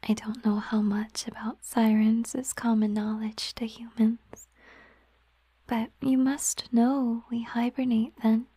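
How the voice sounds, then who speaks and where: Woman as a slow, whispering, tender siren girlfriend. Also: a little high, little pitch movement, dry recording. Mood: soft, wistful. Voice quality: soft, breathy whisper. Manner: intimate, unhurried, softly musing. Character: gentle, affectionate female siren, a mermaid girlfriend. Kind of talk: whispered ASMR roleplay. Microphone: close microphone, dry sound.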